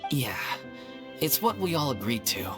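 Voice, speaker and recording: A blunt, protective friend in a video game. Her voice is extremely low for a woman yet moves around a lot. She sounds dry and chiding, over clear music. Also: very animated, measured pace, exaggerated voice. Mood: dismissive. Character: blunt, caring, sardonic friend and fellow performer.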